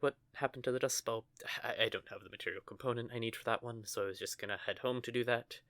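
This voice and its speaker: A man's voice: mid-range voice, steady tone, quick pace, fairly bright tone, dry recording, lightly acted. Male actor as a nervous stuttering teen-ish witch; everyday mid voice, dead-dry close recording. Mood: embarrassed. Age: young adult. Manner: stammering, flustered. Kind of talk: audio roleplay acting.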